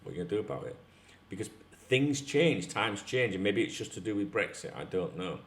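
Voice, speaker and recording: A man's voice: lowish voice, big pitch swings, brisk. A middle-aged man from North Yorkshire with a Northern English accent, talking casually and bluntly to camera as a YouTube host, swearing freely. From North Yorkshire, England. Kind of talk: casual opinionated talk to camera. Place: large tiled-floor room at home, indoors. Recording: echoey room.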